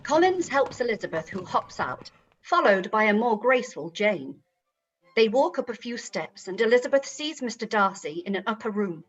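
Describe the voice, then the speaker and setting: A woman's voice: medium pitch, big pitch swings, moderate pace, dull audio, soft background music. A woman reading scripted stage directions as the narrator of a period radio play.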